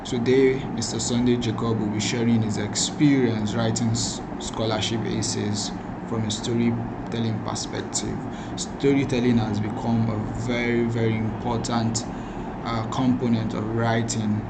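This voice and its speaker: A man's voice: medium pitch, flat delivery, measured pace. A man hosting an online event, introducing the guest speaker in a formal, welcoming way. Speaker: event host. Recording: loud background noise.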